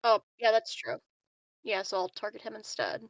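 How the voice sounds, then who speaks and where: A woman playing a tabletop game, casually saying her moves aloud with hesitant pauses. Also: mid-range voice, steady tone, gravelly, dry recording. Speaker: player in a tabletop RPG. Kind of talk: casual game chat, narrating own moves.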